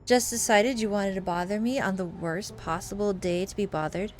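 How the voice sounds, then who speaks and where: A woman's voice: medium pitch, steady tone, normal speed, a little mellow, faint music behind, lightly acted. A woman voicing a tense, angry young woman in a visual novel game, curt, stern and threatening. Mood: stern, weary.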